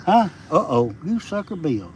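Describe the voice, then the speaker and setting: A man in his fifties with a Southern US accent, rambling in a folksy, halting way during a casual outdoor livestream. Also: on the high side, very animated, rough recording. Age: 50s. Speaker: homesteading livestream host, logger. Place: outdoors on a rural roadside. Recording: outdoor noise with birds. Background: birds and rural outdoor ambience.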